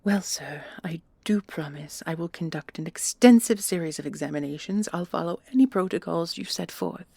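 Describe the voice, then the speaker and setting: A woman playing a proud, anxious female commandant in a sci-fi audio roleplay, formal and deferential, with a husky, breathy voice and halting delivery. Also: on the low side, dark tone, no room sound. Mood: apologetic, earnest. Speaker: voice actress and creator.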